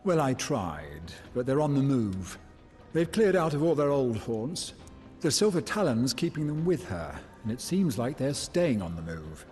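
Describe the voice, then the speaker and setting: An actor playing a shrewd, wry, plain-spoken bounty hunter ally in a video game. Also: male voice, mid-range voice, big pitch swings, unhurried, a little mellow, faint music behind. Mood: businesslike.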